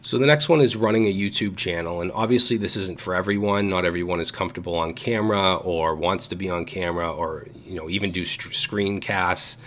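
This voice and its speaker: A man in his thirties with a Boston accent, talking casually to camera from his home studio, relaxed with frequent fillers and restarts. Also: deep voice, a little fast, hardly any pauses, slight background noise. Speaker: YouTube coding educator. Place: home studio room with gaming chair.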